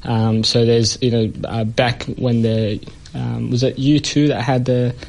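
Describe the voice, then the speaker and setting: A man's voice: medium pitch, level tone, measured pace, vocal fry, a little mellow. A man from Ethiopia, a comedian guest chatting casually and telling stories with lots of fillers and laughter. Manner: chatty storyteller, many fillers, laughs often. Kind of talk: casual anecdotal interview chat.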